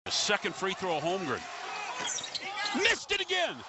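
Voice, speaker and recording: A man's voice: high-pitched, huge pitch swings, a little slow. A man giving urgent live basketball play-by-play as the announcer. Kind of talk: urgent live sports commentary. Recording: loud arena noise behind commentary. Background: basketball arena noise, bouncing ball.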